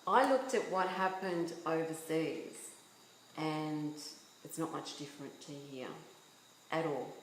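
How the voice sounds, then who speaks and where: A woman guest from Yugoslavia explains her views in an earnest, questioning way. Her voice is a little low. She sounds echoey, with a faint hum behind her. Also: slow pace. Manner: earnest, opinionated, asks rhetorical questions. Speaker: author and guest. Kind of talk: casual interview chat.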